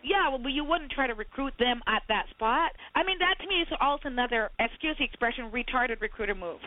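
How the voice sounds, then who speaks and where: A woman's voice: high voice, lively intonation, fairly quick. A woman from Barbados, a podcast co-host, arguing her point emphatically and animatedly in casual talk over a phone call. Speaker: podcast co-host, resume writer. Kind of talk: heated casual debate. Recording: phone-line audio.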